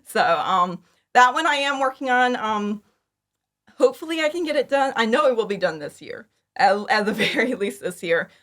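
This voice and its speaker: A woman's voice: a little high, animated, moderate pace, a bit bright. Chatty, enthusiastic woman. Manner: enthusiastic, giggly, informal. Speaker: podcast guest, fan audio drama creator. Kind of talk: casual chat, interview answers.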